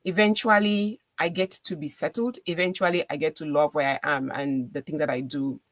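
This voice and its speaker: A woman's voice: deep voice, steady tone, moderate pace, dry recording. A middle-aged woman with a Cameroonian accent, a radio DJ talking earnestly as a podcast guest and repeating phrases for emphasis. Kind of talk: casual interview conversation.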